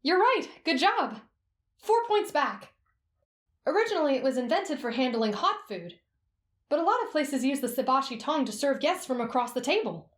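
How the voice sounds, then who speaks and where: Woman as an upbeat, high-voiced etiquette tutor, lively and teasing, roomy fan audio. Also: moderate pace. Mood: instructive. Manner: bright, instructive, teasing. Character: patient young woman etiquette teacher. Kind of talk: ASMR roleplay.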